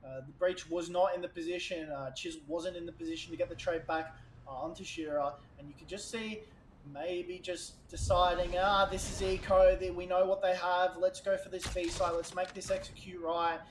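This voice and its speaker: A man calling an esports match with a high, excitable voice that keeps climbing into shouts at the big plays, going hoarse as he goes. He sounds like he's in an echoey room, and there's clear noise running behind him. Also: normal speed. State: losing his voice. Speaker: esports caster. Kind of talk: play-by-play esports commentary.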